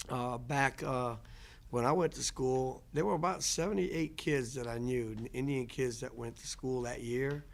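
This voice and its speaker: Man, 60s, guest lecturer; reflective personal storyteller. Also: medium pitch. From Louisiana. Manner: unhurried, reflective, trailing restarts.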